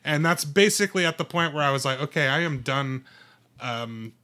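Male podcast panelist, slightly high pitch, bright clear-cut tone, casual conversation. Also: expressive, moderate pace. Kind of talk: casual chat.

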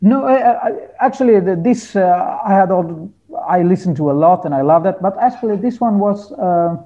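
High-pitched, mellow-voiced man chatting. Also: lively intonation, moderate pace, lo-fi sound. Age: 30s. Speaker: guest musician, hurdy-gurdy player. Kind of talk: casual interview storytelling.

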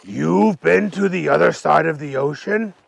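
A man voicing a big, gentle, forgetful dragon in a children's story, slow and drawn-out with booming exclamations. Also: creaky, exaggerated voice. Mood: puzzled.

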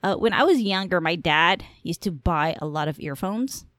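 A woman's voice: on the low side, lively intonation, normal speed, fairly bright tone, no echo, studio quality. A young adult woman hosting a solo podcast, chatting candidly and rambling, coughing now and then from allergies. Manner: rambling, candid, swears casually. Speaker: podcast host. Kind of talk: casual solo podcast chat. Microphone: headphones on, home podcast mic.

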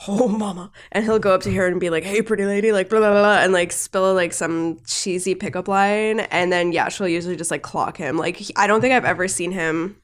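A woman's voice: medium pitch, fairly even intonation, a little fast, few pauses. A woman with a stuffy nose from a cold, co-hosting a podcast and chatting casually about a cartoon, rambling with lots of fillers. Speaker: podcast co-host. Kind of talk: casual cartoon review chat.

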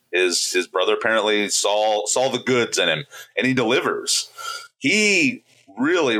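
A man's voice: lowish voice, sharp tone, low-quality recording. A man from Indiana co-hosting a casual movie podcast, rambling and joking, often breaking into laughter. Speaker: podcast co-host.

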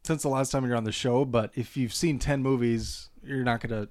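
A man's voice: medium pitch, steady tone, moderate pace, a little mellow, no room sound. A man hosting a casual podcast discussion, informally steering the chat along. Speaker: podcast host.

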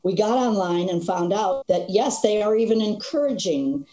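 A woman's voice: medium pitch, normal speed, reverberant, poor audio. An older woman, a stage actress, telling stories in an interview with laughs along the way. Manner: animated storytelling with frequent laughs. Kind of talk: conversational interview.

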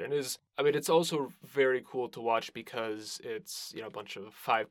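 A man's voice: lowish voice, creaky, no echo, clean studio sound. A man hosting a podcast interview, talking in a casual, conversational style.

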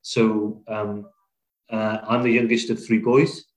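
Low-pitched British man, reflective podcast guest. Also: little pitch movement, slow pace. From Wales, UK. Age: middle-aged. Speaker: podcast guest, business leader. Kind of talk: conversational interview, telling own career story.

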